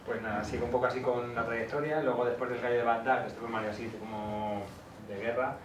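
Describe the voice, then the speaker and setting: A man moderating an informal interview, a bit halting as he checks details aloud. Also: mid-range voice, fairly even intonation. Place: school classroom, talk to teachers. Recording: echoey room, distant mic, noisy.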